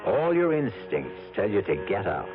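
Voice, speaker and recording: An actor playing an ominous, knowing host narrating a 1970s radio mystery. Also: male voice, lowish voice, wildly swooping pitch, normal speed. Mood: ominous, suspenseful. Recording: muffled vintage radio broadcast with music.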